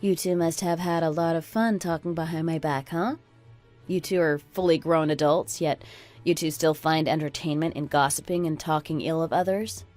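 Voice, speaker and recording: A woman playing a stubborn, sardonic working daughter-in-law in a radio drama, speaking in a measured, dry and pointed way. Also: deep voice, steady tone, a little mellow, soft background music, voice pushed down, natural voice. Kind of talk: scripted radio drama dialogue.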